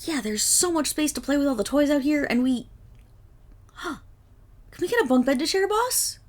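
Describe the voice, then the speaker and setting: A woman voicing an excitable, affectionate young dog girl in a roleplay audio drama, with a girlish voice and playful, eager rambling. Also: moderate pace. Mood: excited, eager. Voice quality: high, girlish.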